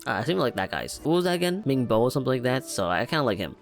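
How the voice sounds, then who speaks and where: A man's voice: mid-range voice, big pitch swings, racing, gravelly, soft background music. A young man giving casual, informal, rambling commentary as he reacts to a video game. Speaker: gaming video host.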